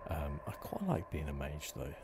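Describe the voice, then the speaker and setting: Male gaming host, extremely low voice, gentle hesitant ASMR delivery, clear background noise on the recording. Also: sing-song, normal speed. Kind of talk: ASMR game playthrough commentary.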